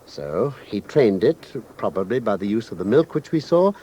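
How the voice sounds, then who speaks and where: An actor playing a shrewd, commanding gentleman detective in a British radio drama, speaking in a precise, articulate, authoritative manner with a British accent. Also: on the low side, sing-song, moderate pace, highs cut off. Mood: calm, explanatory. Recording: noisy old radio drama recording.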